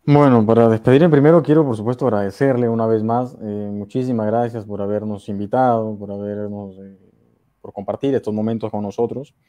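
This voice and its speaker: A man's voice: fairly low pitch, fairly even intonation, fairly quick, warm tone. A young man from coastal northern Peru with a Peruvian accent, chatting casually as a guest poet on a video call. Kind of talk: casual interview chat. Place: indoor room with bookshelves, on a video call.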